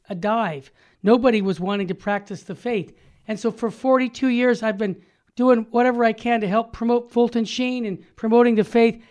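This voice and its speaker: An older man co-hosting a radio talk show, upbeat and emphatic, delivering punchy slogans and promos. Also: very high-pitched.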